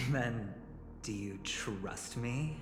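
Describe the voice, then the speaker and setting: Slow-talking sly young gambler, echoey. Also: male voice, mid-range voice. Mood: wry teasing. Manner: unhurried, smug, lightly mocking. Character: smooth calculating young male gambler, sly and teasing. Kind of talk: video game voice acting. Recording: echoey hall reverb, music behind.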